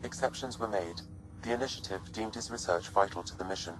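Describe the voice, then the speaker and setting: A man voicing a calm, measured artificial intelligence companion in a video game, speaking with precise, formal phrasing. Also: on the low side, close to an everyday voice, poor audio. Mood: calm, explanatory. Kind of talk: scripted video game dialogue. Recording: music behind the voice.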